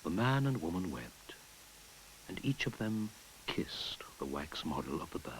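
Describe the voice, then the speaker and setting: A man narrating a folktale in an expressive storytelling manner, sometimes dropping to a whisper. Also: sits low, unhurried, a little hiss or hum, low-quality recording. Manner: expressive storytelling, drops to a whisper at hushed moments. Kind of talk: storybook narration over music. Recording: narration with clear music behind.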